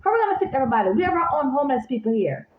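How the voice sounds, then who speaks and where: Jamaican woman vlogger: rambling, animated, high-pitched, recorded in an echoey room. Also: racing. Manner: animated, repeats herself, talks straight to viewers. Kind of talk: casual rambling monologue to viewers. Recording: echoey room, phone-like sound.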